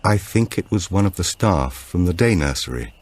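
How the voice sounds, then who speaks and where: A man's voice: low voice, expressive, moderate pace, a little mellow. A man voicing a guarded policewoman who breaks bad news in a careful, formal, restrained way in an audiobook. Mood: careful, gentle.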